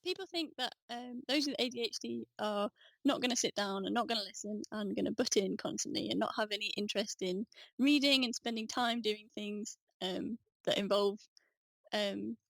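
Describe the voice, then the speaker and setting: A woman's voice: mid-range voice, normal speed, gravelly, no echo, poor audio. A young woman in her twenties, a student guest on a podcast, talking enthusiastically and casually, full of "um" and "like". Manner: enthusiastic, chatty, frequent "um" and "like". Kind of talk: casual conversational interview.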